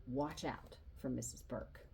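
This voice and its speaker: A woman's voice: very deep voice, measured pace, vocal fry, mellow, breathy edge, pitched below the performer's own voice, heavily acted. A woman voicing a friendly, earnest young schoolboy in a children's storybook, chatty and eager with a wary streak. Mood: wry warning.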